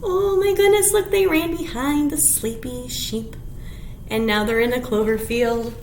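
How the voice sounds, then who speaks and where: A woman with an extremely high voice leads a children's storytime in a roomy, echoing space. She takes her time, stretching words in an exaggerated sing-song as she reads rhymes and picture books. Clear background noise sits behind her. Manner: sing-song, exaggerated, with dramatic gasps and simple explanations for little ones. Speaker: children's storytime presenter at a library. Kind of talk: picture-book read-aloud and rhymes for young children.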